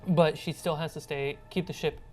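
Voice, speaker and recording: Low-voiced woman game master over music. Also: fairly even intonation, normal speed, a little mellow, rough recording. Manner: informal, conversational. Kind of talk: narrating a tabletop role-playing game.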